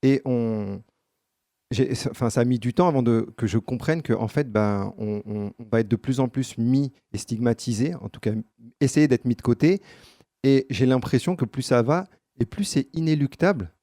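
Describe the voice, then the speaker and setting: A man with a Parisian accent in a political debate, speaking haltingly with many fillers. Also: medium pitch, mellow, no echo, studio quality. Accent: Parisian French. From France.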